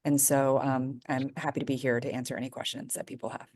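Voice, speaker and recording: A woman's voice: rumbling low, flat delivery, fast talker, darkish tone, dry recording, clean studio sound. A woman from New York introducing herself on a video-call panel from her office, in an upbeat, chatty way with frequent fillers. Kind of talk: conversational self-introduction.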